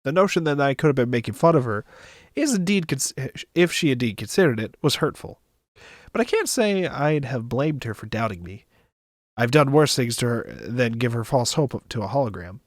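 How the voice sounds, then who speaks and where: A man's voice: medium pitch, lively intonation, normal speed, dry recording, clean studio sound. A man streaming, reading a visual novel aloud expressively with casual asides.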